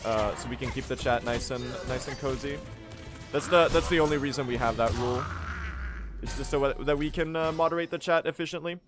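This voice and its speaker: A man's voice: mid-range voice, a little fast, music in the background. Chatty rambling man streaming a game. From Sweden. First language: Swedish. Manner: rambling, self-correcting, chatty, mild swearing. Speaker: speedrunning streamer. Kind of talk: casual livestream chat while playing.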